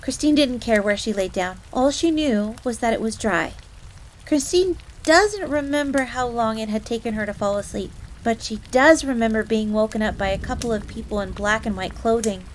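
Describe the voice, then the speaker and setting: A woman's voice: on the high side, moderate pace, natural voice, rough recording. A woman playing a proud, easily flustered fox-girl maid in a roleplay audio drama, speaking formally in the third person with stammers. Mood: wistful, reserved. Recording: steady rain noise throughout. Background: rain ambience.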